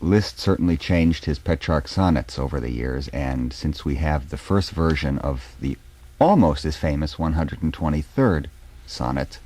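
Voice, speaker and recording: A man hosting a classical music radio programme, speaking in a measured, halting, thoughtful way. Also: rumbling low, expressive, darkish tone. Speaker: radio host. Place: radio studio.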